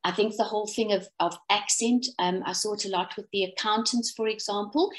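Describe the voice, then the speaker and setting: A woman from South Africa, speaking in a relaxed, conversational way over a video call, with many restarts and ums. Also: mid-range voice, poor audio. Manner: self-deprecating, rambling with many restarts and ums. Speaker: webinar presenter. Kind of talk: casual conversational talk.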